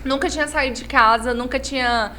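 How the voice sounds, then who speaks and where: A woman's voice: high voice, fairly even intonation, fairly quick, pushed and bright, slight background noise. A woman pastor from Imperatriz, telling her life story as a podcast guest. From Imperatriz, Brazil. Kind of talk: personal storytelling.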